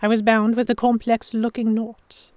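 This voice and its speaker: A woman's voice: fairly high pitch, flat delivery, normal speed, darkish tone, no echo. A woman narrating an audio drama in the first person and acting out the dialogue. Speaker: narrator and lead actor.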